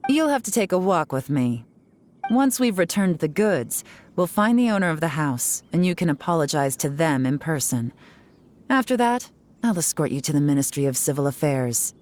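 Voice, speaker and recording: Low-voiced, cool stern investigator. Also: female voice, big pitch swings, normal speed, a little mellow, slight background noise. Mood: firm, wry. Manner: commanding, wry, composed. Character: cool, stern, self-assured female investigator. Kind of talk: dramatic video game voice acting.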